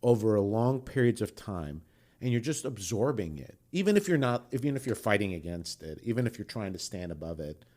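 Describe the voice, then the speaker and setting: Male podcast co-host, lowish voice. Thoughtful, searching interviewer. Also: sing-song, brisk, darkish tone. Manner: thoughtful, feels his way through questions with fillers.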